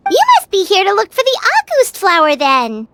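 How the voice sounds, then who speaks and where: Squeaky, tiny childlike companion. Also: female voice, huge pitch swings, moderate pace, fairly bright tone, a little hiss or hum, exaggerated voice. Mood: curious. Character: tiny childlike floating female companion, chirpy and nosy. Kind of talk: scripted video game dialogue. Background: music.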